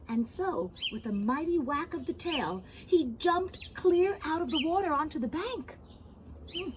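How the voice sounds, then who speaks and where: Slow-paced, high-voiced woman reading a storybook. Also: background noise. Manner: addresses young listeners with gentle commentary. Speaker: storybook reader. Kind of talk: expressive children's read-aloud with asides.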